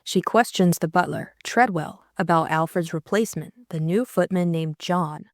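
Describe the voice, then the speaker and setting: A woman narrates an audiobook from a script. Her voice sits a little low for a woman. The recording is dry and close, free of room sound. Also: normal speed, no echo.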